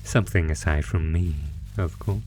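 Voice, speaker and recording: A man's voice: low-pitched, darkish tone, faint music behind, natural voice. A man playing an ancient, predatory monster who theatrically taunts human prey in a horror audio roleplay. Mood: gleeful, sinister. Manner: theatrical, savoring, taunting, drawn-out phrasing.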